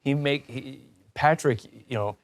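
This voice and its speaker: A man's voice: mid-range voice, measured pace. A man hosting a conversational interview, speaking in an enthusiastic, emphatic and affirming way. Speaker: talk show host.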